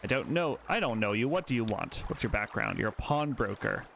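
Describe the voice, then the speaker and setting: A man's voice: fairly low pitch, lively intonation, fairly quick, vocal fry, fairly bright tone, slight background noise. A man hosting a let's play, chatting casually and self-deprecatingly as he plays. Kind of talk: casual gameplay commentary.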